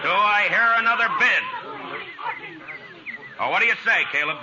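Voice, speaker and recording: Frontier marshal, American, dry authority, higher-set voice, dull noisy vintage radio recording. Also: male voice, animated, moderate pace, crowd noise. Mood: brisk auctioneering. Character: steady, wry frontier US marshal running an auction. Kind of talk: 1950s radio western acting.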